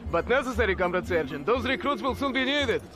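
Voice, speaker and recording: A man's voice: high voice, expressive, quick pace, noisy background. A man playing a hearty Soviet army officer in a game cutscene, projecting his voice over music. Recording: music behind the voice.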